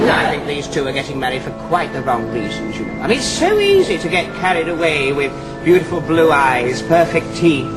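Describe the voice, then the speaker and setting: A man's voice: on the high side, poor audio. A man playing a chatty, wry gossip in a conversational manner. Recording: loud music over the voice. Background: loud music.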